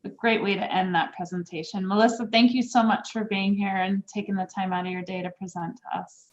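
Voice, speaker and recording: A woman's voice: mid-range voice, fairly even intonation, a little fast, lo-fi sound. A woman hosting a club meeting, thanking speakers and moving through the agenda in a friendly way. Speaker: meeting host.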